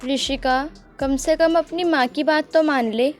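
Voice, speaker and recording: A woman's voice: high-pitched, fairly even intonation, measured pace, faint music behind. A woman voicing an exasperated middle-aged mother of married daughters in a cartoon story, reproachful and admonishing. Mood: scolding.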